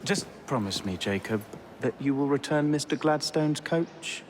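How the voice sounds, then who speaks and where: A man's voice: mid-range voice, animated, normal speed, slight background noise, lightly acted. A man playing a sceptical, earnest Victorian policeman, dry and reluctant, in a video game. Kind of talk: cinematic video game acting.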